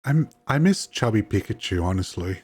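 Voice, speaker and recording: A man with a fairly low voice hosts a casual podcast chat. He is friendly and hesitant, and he often stumbles and repeats his words. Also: expressive, moderate pace, darkish tone, slight background noise. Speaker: podcast host.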